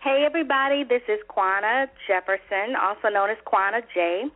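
A woman's voice: medium pitch, fairly quick. An upbeat, chatty woman from Arkansas introducing herself casually on a call-in talk show. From Pine Bluff, Arkansas, United States. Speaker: panelist, publicist. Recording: narrow phone-like sound.

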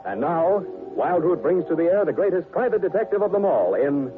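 A man with an American accent announcing a radio show and pitching its sponsor in 1940s style. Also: very animated, brisk. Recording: muffled 1940s radio recording, faint music.

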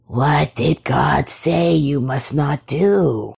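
A woman playing a slow, whispery, sly snake. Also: deep voice, gravelly, slightly rough, full character voice. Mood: sly, coaxing. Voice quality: whispery, hushed. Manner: slow, drawn-out, coaxing. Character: sly whispering snake creature tempting with false reassurance.

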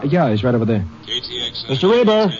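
A plainspoken local lawman, played by a man, giving facts in a folksy, matter-of-fact way. His voice is of ordinary pitch. A murmuring crowd can be heard behind the dull sound of an old radio broadcast. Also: very animated, fairly quick, lightly acted. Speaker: patrolman. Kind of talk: 1940s radio acting.

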